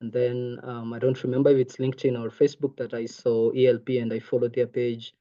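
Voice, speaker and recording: Young man, from Kenya, Maasai native speaker, warm tone, earnest, lo-fi video call. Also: medium pitch, little pitch movement, brisk. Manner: earnest, many ums. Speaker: intern presenter. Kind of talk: online presentation. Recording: muffled video call audio.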